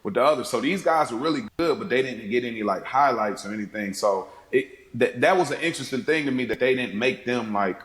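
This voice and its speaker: Low-voiced man in an echoey room. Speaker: podcast guest. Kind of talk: casual film discussion. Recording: roomy, echoing sound.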